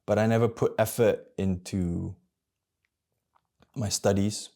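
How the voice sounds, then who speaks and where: Man, 30s, from Malaysia; deep, dark, gravelly voice; candid, introspective vlogger who talks haltingly. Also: fairly even intonation. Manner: halting, searching for words, many restarts. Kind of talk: personal reflective monologue.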